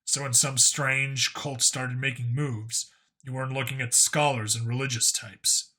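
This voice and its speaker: A man's voice: medium pitch, flat delivery, measured pace, bright, forceful tone. A man voicing a wry, skeptical human cop who talks in a dry, casual way in an audiobook story. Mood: dry, probing.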